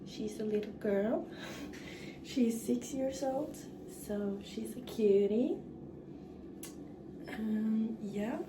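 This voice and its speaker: A young woman from northern Norway with a Norwegian accent, chatting casually in English while doing her hair, halting and full of fillers. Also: on the high side. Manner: halting, frequent fillers and restarts. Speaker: vlogger. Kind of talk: casual get-ready-with-me chat. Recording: echoey room, mic far off, clear background noise.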